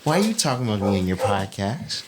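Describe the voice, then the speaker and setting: A man with a Bahamian accent hosting a podcast in casual, teasing, animated group banter. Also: lowish voice, fast talker, reverberant, crowd noise. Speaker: podcast host.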